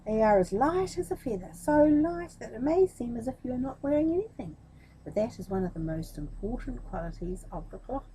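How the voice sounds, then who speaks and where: Storybook con man played by a woman: sly, flattering tailor, high voice, lively swoops. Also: a little fast, a little hiss or hum, low-quality recording. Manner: fawning, salesmanlike patter. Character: two sly flattering male swindlers posing as tailors.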